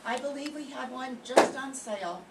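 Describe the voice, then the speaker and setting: A polite, helpful shop clerk, played by an older woman in an old-time radio drama. Her voice is a bit high and far from the mic in an echoey room, half buried under heavy noise and clicks. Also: moderate pace. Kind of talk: 1940s radio acting.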